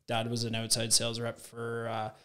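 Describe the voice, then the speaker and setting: A man in his thirties, from Ontario, with a mid-range voice. He is a podcast guest who tells his stories in long, loose runs, packed with fillers and quick self-corrections. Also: medium pitch, monotone, a bit bright. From Ontario, Canada. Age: 30s. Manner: rambling, filler-heavy, self-correcting storyteller. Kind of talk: casual chat.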